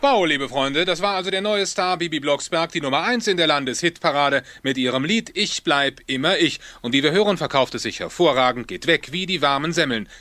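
Radio presenter, bright forceful voice, fairly high, showy hit announcement, children's audio drama. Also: male voice, expressive, brisk, hardly any pauses, no room sound. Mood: upbeat, showy. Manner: enthusiastic, showy announcer delivery.

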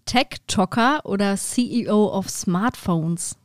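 A woman hosts a podcast in a bright, upbeat way, welcoming guests and leading the questions. Her voice sits in a middle pitch. The recording is dry and close, with no room sound. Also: slow, no echo. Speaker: podcast host. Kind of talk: podcast hosting and interviewing.

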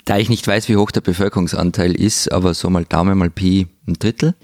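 Low gravelly man thinking aloud. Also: steady tone, a little fast, a little mellow, no room sound, studio quality. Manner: hesitant, thinking aloud, questioning. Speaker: podcast co-host. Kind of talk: casual discussion.

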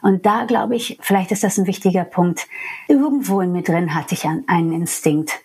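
A woman's voice: fairly low pitch, moderate pace, mellow, husky. A woman from New York, a native English speaker, speaking German and talking frankly and reflectively about her life in an interview. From New York, USA. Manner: frank, reflective, laughs easily. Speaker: interview guest. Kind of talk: candid personal interview.

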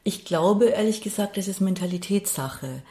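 A woman's voice: mid-range voice, animated, normal speed, mellow. Woman, middle-aged, reflective interview guest, hesitant, conversational, echoey room. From Passau, Bavaria, Germany. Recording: roomy, echoey sound.

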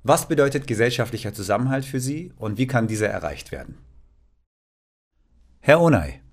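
A man's voice: medium pitch, animated, normal speed. A man hosting a podcast, reading scripted introductions and putting questions to his guests. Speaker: podcast host.

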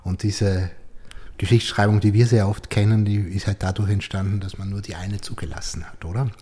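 An Austrian German man hosts a literary podcast with a very low, slightly husky voice. He reads long lists in a measured, unhurried way and then moves into an easy conversation with his guest. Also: fairly even intonation, mellow. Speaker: podcast host. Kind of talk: literary interview, reading a guest bio.

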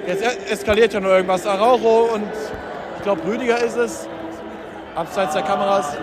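A man's voice: high voice, brisk. An overexcited man with a strained voice giving a fan report from football stadium stands. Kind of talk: excited on-site fan report. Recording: roaring stadium crowd, very noisy. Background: chanting, roaring crowd.

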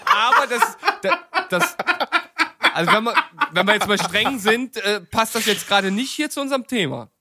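A man's voice: sits high, very animated, normal speed, pushed and bright. A man in his thirties reminiscing casually as a podcast host. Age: 30s.

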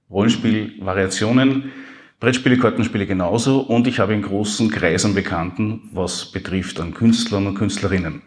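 A man's voice: lowish voice, darkish tone. A man hosting a podcast live on stage, rambling and self-deprecating, a little nervous. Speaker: podcast host. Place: university hall before a live audience.

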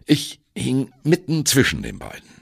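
An actor playing a male jazz musician who wryly and candidly recalls his youth in a radio play monologue, in a gravelly voice. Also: medium pitch, wildly swooping pitch, a little slow, full character voice. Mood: wry reminiscing. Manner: wry, candid, self-deprecating. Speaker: narrator of an audio drama. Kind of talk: first-person radio play monologue, storytelling.

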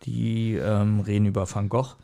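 A man's voice: fairly low pitch, level tone, a little slow, creaky, mellow. A man co-hosting a casual podcast, talking in a wry, interjecting way. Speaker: podcast host. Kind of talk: casual chat.

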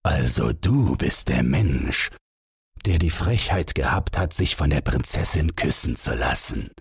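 A man's voice: a bass voice, animated, unhurried, vocal fry, husky, dry recording, clean studio sound, full character voice. A man voicing an indignant, blustering old king in a fairy tale audiobook, imperious and scornful. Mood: menacing.

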